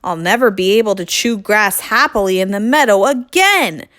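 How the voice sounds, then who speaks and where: A woman's voice: mid-range voice, animated, normal speed, fairly bright tone, no echo, clean studio sound, close to an everyday voice. A woman voicing a distressed, complaining cow in a children's storybook, with dramatic delivery. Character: distressed cow stuck quacking like a duck.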